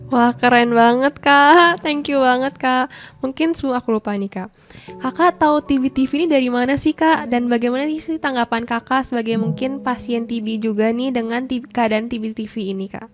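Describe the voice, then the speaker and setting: A woman's voice: fairly high pitch, brisk, faint music behind. A woman hosting a casual interview in an informal, polite manner. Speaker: interviewer, host.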